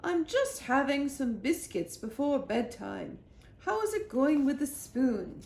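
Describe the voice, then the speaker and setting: A woman's voice: sits high, very animated, slow, darkish tone, roomy sound, slight background noise, voice pushed up, full character voice. A woman voicing a prim, haughty English Queen, fussily polite and imperious, in a children's storybook reading. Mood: fussy, polite. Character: prim, haughty elderly Queen of England on telephone. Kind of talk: children's storybook read-aloud.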